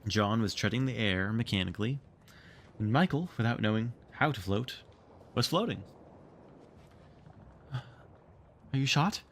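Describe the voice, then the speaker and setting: A man narrating a storybook read-along with expressive, theatrical storytelling. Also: on the low side, moderate pace, slight background noise. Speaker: streamer reading a book aloud.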